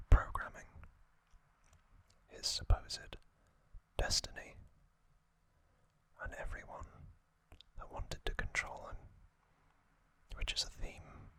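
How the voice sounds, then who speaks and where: A man speaks in a breathy, crisp whisper, like an ASMR host telling a story. His delivery is very slow and deliberate, broken into short phrases with space between them. The sound is bright and airy rather than voiced.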